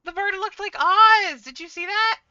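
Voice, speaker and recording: A woman's voice: very high-pitched, moderate pace, pushed and bright, dull audio, slight background noise. A woman streaming a game, chatting casually and reacting in an informal way. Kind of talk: casual livestream chat over gameplay.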